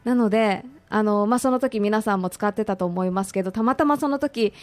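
A woman's voice: medium pitch, fairly quick, darkish tone, no room sound. A woman talking conversationally as a radio guest, politely and expressively sharing personal recollections.

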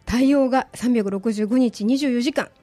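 Woman, Kansai accent, disaster expert guest. High voice, dry close sound, polite explanatory delivery. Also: moderate pace, mellow, no echo, faint music behind. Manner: polite, explanatory, with dialect asides. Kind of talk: conversational radio explanation.

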